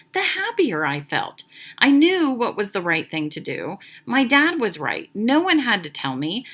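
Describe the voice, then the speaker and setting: A woman narrates a children's book with very lively, swooping intonation. Her voice is bright and forward, and she tells the story in a dramatic, expressive way. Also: high-pitched. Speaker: read-aloud narrator.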